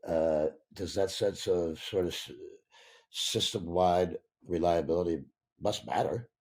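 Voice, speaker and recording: A man moderating a panel, putting conversational questions to guests with halting, repeated words. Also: sits low. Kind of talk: conversational interviewing.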